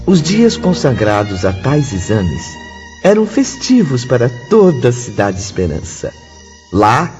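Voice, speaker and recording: Male narrator, Brazilian accent, solemn, slow, very expressive intonation, music behind. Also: medium pitch. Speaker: radio drama narrator. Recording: reverberant, dull lo-fi, music bed.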